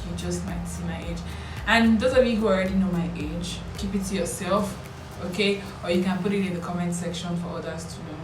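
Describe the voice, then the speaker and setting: A young Nigerian woman vlogger talks in a casual, playful way. Her voice sits fairly low for a woman. It is picked up from some distance in a roomy, echoing space, with music behind her. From Lagos, Nigeria. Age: 20s. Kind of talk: casual chat to camera answering questions. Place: small indoor room with bare walls. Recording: roomy echo, distant mic, background music.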